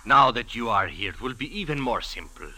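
Coldly menacing, sharp-voiced jealous killer. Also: male voice, mid-range voice, sing-song, fairly quick, heavily acted. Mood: cold, threatening. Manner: formal, sardonic, precise diction. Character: menacing jealous husband, cool calculating killer. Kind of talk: 1940s radio crime acting.

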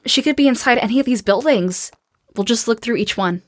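A woman's voice: a little high, a little fast, no echo, clean studio sound, lightly acted. A woman playing a tough, determined, commanding survivor in an audio drama. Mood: wary, blunt.

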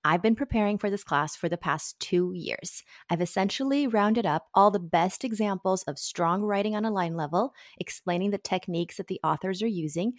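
A woman literary agent reading a friendly, clear, scripted announcement for her class. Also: medium pitch, no room sound, clean studio sound.

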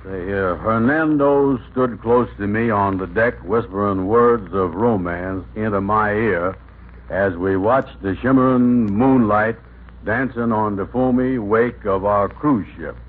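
An actor playing a skeptical, slow-witted pal with folksy grammar in an old-time radio comedy. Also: male voice, fairly low pitch. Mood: reading aloud. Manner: folksy nonstandard grammar, halting when reading aloud. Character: skeptical, plain-spoken man, the schemer's slow-witted pal. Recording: tinny, noisy old radio recording.

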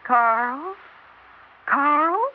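An american widowed mom, fragile and deluded, very theatrical old radio play, muffled with some hiss. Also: female voice, high-pitched, expressive, very slow, exaggerated voice. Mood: anxious, haunted. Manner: theatrical, wistful, emotionally overwrought. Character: fragile, deluded, fiercely devoted middle-aged widowed mother. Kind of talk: 1960s radio drama acting. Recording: muffled, noisy 1960s radio recording.

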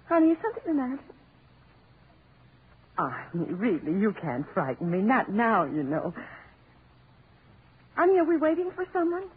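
An American actress playing a timid, clinging, frightened wife in a 1940s radio horror drama, plaintive and questioning. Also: female voice, medium pitch, husky. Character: timid, clinging wife, anxious and increasingly terrified. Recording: tinny, noisy 1940s radio recording.

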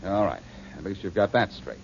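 A man's voice: deep voice, big pitch swings, a little fast, creaky, heavily acted. An actor playing a cocky, self-assured male detective in a 1940s radio drama. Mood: insistent. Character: tough, wisecracking male detective. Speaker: lead detective. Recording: muffled old radio broadcast, faint hiss.

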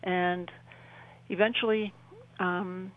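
A woman in her sixties with a Midwestern American accent, telling a personal story as an interview guest in a halting, conversational way. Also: lowish voice, little pitch movement, rough recording. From southwestern Wisconsin, United States. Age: 60s.

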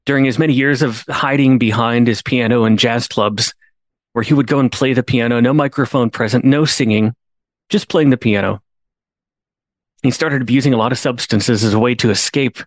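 A man's voice: mid-range voice, normal speed, no room sound. Earnest male podcast host, dry close sound. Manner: earnest, repeats words when restarting, rises to emphatic pleas. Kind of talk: storytelling monologue.

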